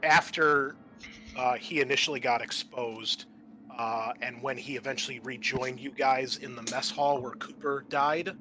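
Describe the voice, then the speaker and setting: Bright-voiced man hosting a tabletop game. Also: mid-range voice, fairly even intonation, normal speed, faint music behind, rough recording. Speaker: host and game master. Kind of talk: casual game debrief, explaining rules and agendas. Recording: faint background noise.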